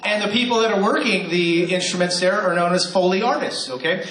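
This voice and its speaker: A man's voice: sits high, brisk, fairly bright tone. A man hosting a live radio theater show, joking with the audience and coaching them through cue-card practice. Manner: playful, joking, coaxing the crowd. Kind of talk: warm-up talk to a live audience. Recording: echoey hall with a crowd. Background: audience noise and applause.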